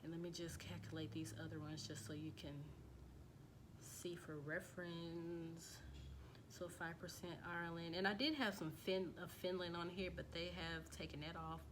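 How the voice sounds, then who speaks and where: Low-voiced woman chatting casually. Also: steady tone, normal speed, background noise. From United States. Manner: chatty and loose, with frequent fillers and restarts. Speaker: vlogger. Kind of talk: casual talk to camera while reading results off a screen.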